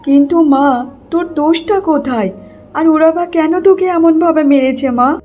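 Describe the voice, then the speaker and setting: A woman's voice: high voice, unhurried, a little mellow, soft background music. A woman playing a middle-aged mother in a cartoon moral story, speaking in an emphatic, dramatic manner. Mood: alarmed, concerned. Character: older mothers: scheming mother-in-law, anxious loving mother. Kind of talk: Bengali cartoon story acting.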